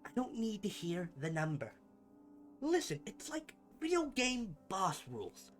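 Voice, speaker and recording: Man voicing a theatrical supervillain with wildly swooping pitch. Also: wildly swooping pitch, a little slow, soft background music, heavily acted. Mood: flustered, irritated. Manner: pompous, melodramatic, swinging into chatty asides. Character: theatrical, bumbling supervillain, gloating yet secretly caring rival. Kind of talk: scripted ASMR roleplay monologue.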